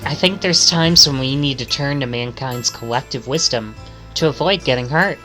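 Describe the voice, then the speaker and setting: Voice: mid-range voice, moderate pace, pushed and bright, soft background music. A streamer reading visual novel text aloud and adding casual commentary.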